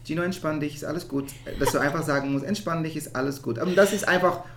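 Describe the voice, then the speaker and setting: A man from the Netherlands speaks German with a Dutch accent in a reflective interview, often halting to search for words in an animated way. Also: a little high, creaky, background noise. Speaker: interview guest, musical theatre performer.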